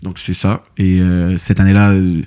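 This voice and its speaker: A man's voice: deep voice, level tone, dark tone, clean tone. A young man with a Québécois French accent, a football player telling stories as a guest in a casual podcast chat, loose and full of fillers and slang. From Quebec, Canada. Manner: quick, loose storytelling with many fillers and slang.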